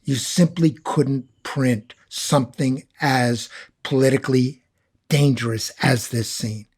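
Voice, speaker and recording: A man's voice: medium pitch, fairly even intonation, slow pace, darkish tone, breathy edge. A man giving conversational expert commentary as a guest scholar, telling it emphatically like a story.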